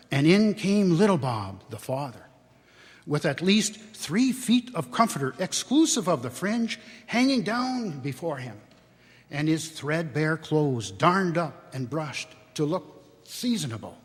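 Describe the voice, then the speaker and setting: An older man giving an expressive, unhurried dramatic reading of a classic story in a church. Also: a little high, slightly rough. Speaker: dramatic reader. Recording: long church reverb.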